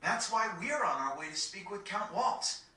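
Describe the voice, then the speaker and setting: Take-charge teenage boy, informal, protective, forceful tone, video game dialogue, roomy distant audio. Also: mid-range voice, lively intonation, moderate pace. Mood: reasoning. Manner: informal, frank, reassuring. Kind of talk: video game cutscene acting.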